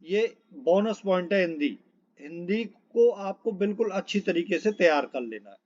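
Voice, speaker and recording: Man, high-pitched voice, informal coaching teacher, reads out lists, repeats key points, lo-fi muffled sound. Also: lively intonation, normal speed, a little mellow. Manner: instructional, repeats points and checks in often. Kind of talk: informal syllabus walkthrough, reading out topic lists.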